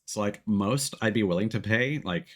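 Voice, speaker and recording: A man's voice: fairly low pitch, moderate pace. A man giving casual live gameplay commentary as a streamer, rambling and restarting his sentences mid-thought.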